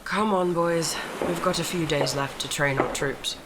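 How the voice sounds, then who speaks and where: A low female voice, an actress in role plays a brash army general in a fantasy audio drama. She is blunt and commanding, with clear background noise and ambience around her. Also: a little slow. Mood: bitter, commanding.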